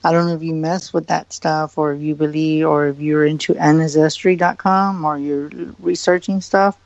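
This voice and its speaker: A man's voice: fairly high pitch, little pitch movement, moderate pace, vocal fry, dry recording, muffled recording. A man working as a psychic medium on a live radio show, giving a hesitant reading full of fillers and repeated likes.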